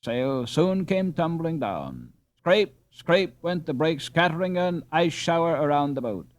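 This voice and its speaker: A man reads a storybook aloud in an expressive way. His voice sits a little high and moves widely in pitch, recorded dry and close. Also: measured pace, no echo. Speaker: audiobook narrator. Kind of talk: expressive scripted storybook narration.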